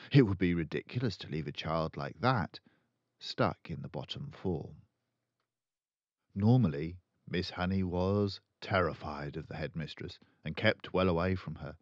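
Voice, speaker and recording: A man's voice: low-pitched, a little mellow, no room sound, highs cut off. An older man reading a children's book aloud expressively as a storyteller.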